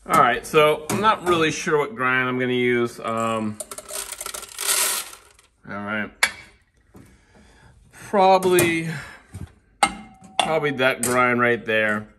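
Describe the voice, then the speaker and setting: Slow-talking man reviewing coffee. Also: medium pitch, lively intonation, background noise. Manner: rambling, restarts often, talks while working. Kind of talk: casual home coffee review. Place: home kitchen, indoors.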